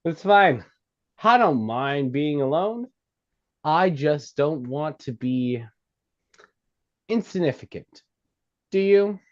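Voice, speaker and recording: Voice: mid-range voice, expressive, slow pace, pauses often, dull audio. An actor at a script table read playing a blunt, insistent comedy club worker, emphatic and stumbling over lines. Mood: earnest, forceful.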